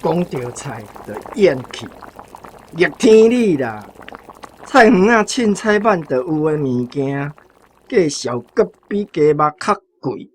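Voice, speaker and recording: A man's voice: fairly high pitch, very animated, slow pace, a little hiss or hum. An actor playing a frugal, folksy old grandfather with a Taiwanese accent in a radio drama, speaking in plain, homely words. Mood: grumbling. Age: older.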